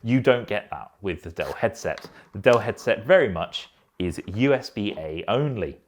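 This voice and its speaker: A man speaks as a product reviewer, explaining things in a measured way. His pitch moves up and down in a lively, animated fashion, and the delivery is that of a prepared review. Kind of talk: scripted-sounding headset review.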